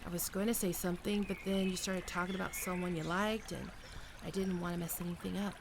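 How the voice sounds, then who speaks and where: A performer playing a gentle young best friend with a secret crush, speaking softly and hesitantly in an ASMR roleplay, comforting and tender. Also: medium pitch, level tone, fast talker, vocal fry, noisy background, lightly acted. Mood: shy, nervous. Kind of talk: soft-spoken ASMR roleplay.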